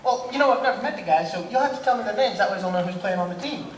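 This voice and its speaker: A man plays a flustered comic in an old radio double act, insistently questioning his partner. The voice is high and very animated, from off the mic in an echoey room. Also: very fast. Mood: curious. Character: increasingly exasperated, confused comic of a double act. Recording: echoey room, distant mic, faint noise.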